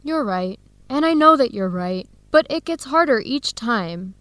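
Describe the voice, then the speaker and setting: A woman's voice: a little high, lively intonation, measured pace, a bit bright, no echo. A young woman acting an earnest, disheartened student in her early twenties in a radio drama, enunciating carefully for language learners. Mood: discouraged. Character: disheartened 22-year-old Ethiopian-American woman student, earnest, torn.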